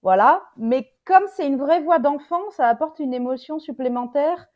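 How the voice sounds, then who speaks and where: A woman chats informally on a panel over a video call. Her voice is fairly high but very soft and mellow in tone, and the audio sounds dull. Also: moderate pace. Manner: informal, slangy. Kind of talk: casual chat.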